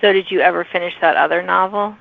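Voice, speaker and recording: A woman's voice: lowish voice, steady tone, fast, vocal fry, no room sound, narrow, tinny audio. A woman hosting a podcast in casual, enthusiastic interview chat. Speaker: podcast host.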